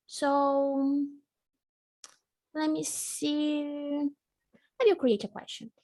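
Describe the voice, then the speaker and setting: A woman's voice: high voice, darkish tone. A woman whose first language is Portuguese, teaching a live online English lesson and patiently drilling each word. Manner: patient, slow drilling, repeats words for the student.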